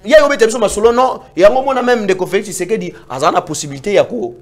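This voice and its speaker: A man arguing about politics in a heated debate, emphatic and sometimes nearly shouting. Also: high-pitched, brisk. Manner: emphatic, rising to near-shouts when making points. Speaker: political commentator.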